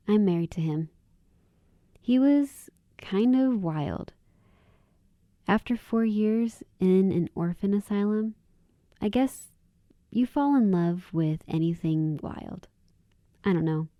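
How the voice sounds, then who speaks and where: A woman's voice: medium pitch, warm tone, no room sound, studio quality. A woman playing a kind, earnest and plain-spoken young woman in a radio drama. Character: kind, candid young woman with a wistful side.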